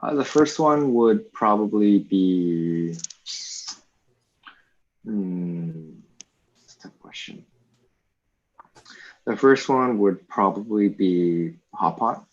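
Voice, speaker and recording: A man with a Canadian accent giving casual, thoughtful answers as an interview guest, pausing to think as he goes. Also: fairly low pitch, lively intonation. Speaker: interview guest, teacher community lead. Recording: dull, lo-fi call audio.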